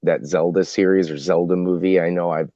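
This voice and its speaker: Deep-voiced man in casual discussion. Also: steady tone, a little fast, dry recording, highs cut off. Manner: rambling, thinking out loud. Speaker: podcast panelist.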